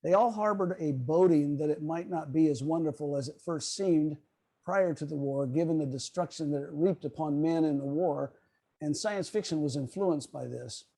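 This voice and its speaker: A man gives a prepared lecture at an online club meeting. His voice is mellow and slightly high, and his delivery is formal and measured. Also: rough recording. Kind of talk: prepared lecture on radio history. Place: online video call.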